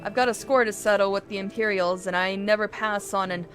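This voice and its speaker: A woman voicing a gruff, grudge-bearing mercenary ally from a fantasy game, cocky and blunt. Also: mid-range voice, steady tone, a little fast, fairly bright tone, soft background music, close to an everyday voice. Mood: vengeful.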